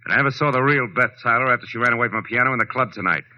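An American actor playing a terse, wry, world-weary private detective in a 1940s style radio drama. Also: male voice, medium pitch, racing, slight background noise, natural voice. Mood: wry, explanatory. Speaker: lead actor and narrator of a radio detective series. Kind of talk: 1940s radio drama acting, first-person narration. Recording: dull vintage radio recording with music.

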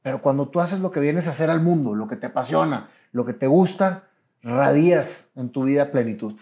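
A man's voice: mid-range voice, animated, moderate pace, mellow. A man with a Mexican accent hosting a friendly conversational interview in an earnest, motivational way. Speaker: interviewer, show host.

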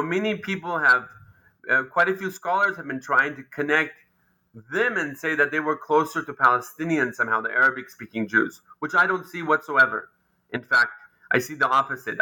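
A man speaks as a history teacher answering an interviewer, his voice bright and edged. He thinks aloud, stopping and restarting as he lays out a point, and sits a little above the usual male pitch. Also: poor audio. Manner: thoughtful, explanatory, with frequent ums and restarts. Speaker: historian and lecturer.

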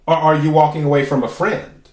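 A man with a raspy voice hosting a podcast, giving a casual, chatty tarot reading and musing aloud with drawn-out pauses. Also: on the high side, level tone, darkish tone. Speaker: tarot reader and podcast host. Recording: roomy echo.

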